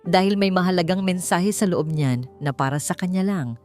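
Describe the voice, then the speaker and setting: A woman's voice: lowish voice, normal speed, a little mellow, natural voice. A woman voicing a menacing, condescending male boss in an audiobook drama, coaxing and taunting, with music behind. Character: menacing, manipulative male hotel boss, sneering and bribing.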